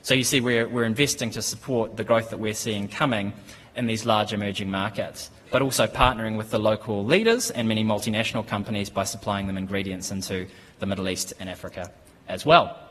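New Zealand man in a reverberant hall. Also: lowish voice. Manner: measured, steady, with frequent ums and restarts. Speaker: conference speaker. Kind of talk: business presentation. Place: conference hall, at a lectern. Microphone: lectern microphone. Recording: conference hall with long reverb.

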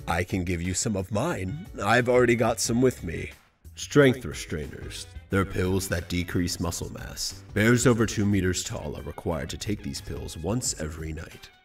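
A man voicing an unstable, menacing adult bear in a manga fan dub, slow, measured and ominous. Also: sits low, expressive, soft background music. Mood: calm, ominous.

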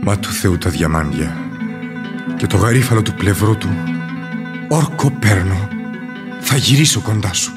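Low male voice, actor playing devoted young lover, solemn poetic declamation, radio theatre, music underneath. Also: lively intonation, long pauses, natural voice. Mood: solemn vowing. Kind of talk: lyrical radio theatre verse acting.

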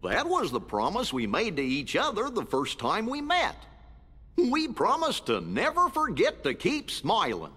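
Goofy gentle cartoon dog, super swoopy pitch, game cutscene, lots of reverb. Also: male voice, huge pitch swings, unhurried, slightly rough, full character voice. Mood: gentle reassurance. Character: goofy, kindhearted male cartoon dog sidekick. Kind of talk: cartoon video game acting. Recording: long hall reverb.

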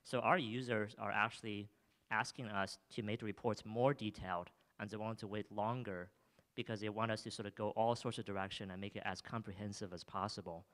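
Young man, startup founder, demo talk, lowish voice, restarts and fillers, handheld mic on stage. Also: fairly even intonation, a little fast, a bit bright. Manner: filler-heavy, frequent restarts, enthusiastic. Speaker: startup founder presenting his product. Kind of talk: live demo talk and audience Q&A. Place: theater stage.

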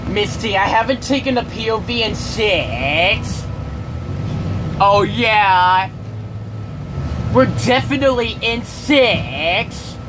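Young guy rambling to his camera on a bus, slow n repetitive, lots of road noise. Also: expressive. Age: teenager or young adult. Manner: repetitive, halting phrasing. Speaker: vlogger. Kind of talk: casual rambling vlog chat. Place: inside a moving school bus. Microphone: handheld camera at arm's length. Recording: loud bus engine and road rumble.

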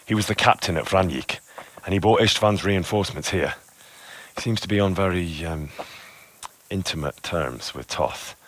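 An actor playing an earnest, respectful young medieval soldier in a video game, measured and tactful. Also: male voice, deep voice, no echo. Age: young adult. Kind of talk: video game cutscene acting.

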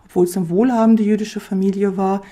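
A woman's voice: medium pitch, steady tone, brisk, warm tone. A woman explaining conversationally as an interviewee. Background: piano music.

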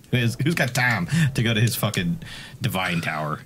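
Excitable low-voiced man, lively swooping pitch. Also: normal speed. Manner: profane, excitable, joking. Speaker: gaming co-host, commentator. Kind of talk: casual reactive game commentary.

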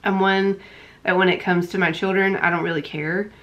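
Woman, 30s, stuffy nose, lower pitch, chatty emphatic book reviewer talking to a desk camera at home. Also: flat delivery, moderate pace, a bit bright, highs cut off. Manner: chatty and emphatic, with frequent repetitions. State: stuffy, congested. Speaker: book vlogger. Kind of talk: casual book review chat. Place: home room lined with bookshelves. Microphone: camera in front at desk distance.

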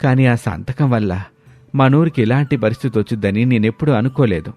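A man's voice: mid-range voice, fairly quick, darkish tone, soft background music, close to an everyday voice. An actor playing a greedy, self-serving village headman in a cartoon moral story. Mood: ashamed.

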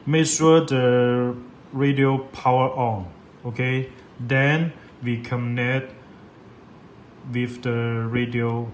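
A man giving a step-by-step software tutorial in halting, repetitive English. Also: medium pitch, fairly even intonation. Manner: halting, repetitive non-native English phrasing. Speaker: product demonstrator. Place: indoors at a laptop on a desk. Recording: echoey room with clear background noise.

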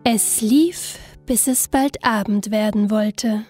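A woman narrating a scripted fairy tale in an expressive, storybook manner. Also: medium pitch, soft background music. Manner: expressive, unhurried storybook telling.

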